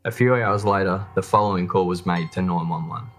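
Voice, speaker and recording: A man narrates a true crime documentary from a script. His voice sits low in pitch. Soft music plays behind him. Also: brisk.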